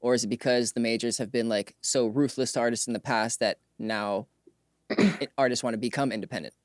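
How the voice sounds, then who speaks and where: A man's voice: mid-range voice, fairly even intonation, fairly quick, no echo, studio quality. A man asking conversational questions as an interviewer.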